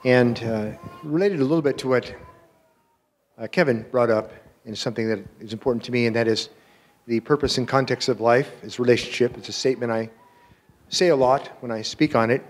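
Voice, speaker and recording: Older man, earnest, halting delivery, handheld mic on a stage. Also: mid-range voice. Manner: earnest, halting, restarts often. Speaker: panelist. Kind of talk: panel discussion. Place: stage in a large auditorium.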